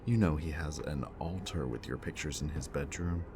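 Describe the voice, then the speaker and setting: Guy doing a super deep remorseful villain, low confessional talk, clear ambient noise behind. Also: moderate pace. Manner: low, intimate, confessional delivery with dropping whispers. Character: remorseful bitter male villain, young adult. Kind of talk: audio roleplay addressed to the listener. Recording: steady ambient background noise.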